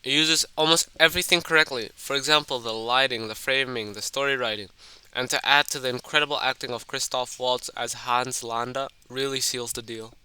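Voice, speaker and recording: A man's voice: medium pitch, fairly even intonation, a little fast, bright, forceful tone, clean tone, no room sound, low-quality recording. A man narrating a scripted film analysis as a video essayist, addressing the viewer in a conversational way.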